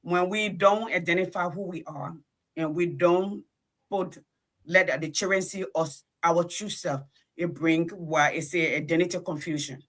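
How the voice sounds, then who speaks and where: A woman's voice: fairly low pitch, steady tone, a little slow. A woman with a Liberian accent, co-hosting a conversation with emphatic commentary, often checking her points with a short question. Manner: emphatic, punctuates points with "okay?".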